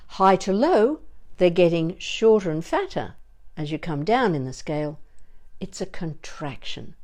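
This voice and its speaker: A woman with a slightly low voice teaches vocal exercises as a coach. She is encouraging and informal, with a playful streak in how she explains things. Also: darkish tone. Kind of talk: instructional vocal warm-up lesson with demonstrations.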